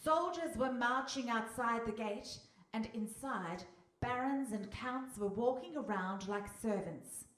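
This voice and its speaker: A woman's voice: a little high, unhurried, a bit bright. A woman narrating a scripted story for a live radio play. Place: hall, live stage performance. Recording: distant mic, echoey hall, faint noise.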